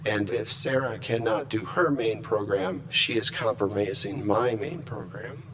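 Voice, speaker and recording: A man voicing a calm, formal, quietly menacing ship computer program in a tabletop game. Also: lowish voice, crowd noise, voice pushed down, lightly acted. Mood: coolly controlled. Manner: measured, formal, polite.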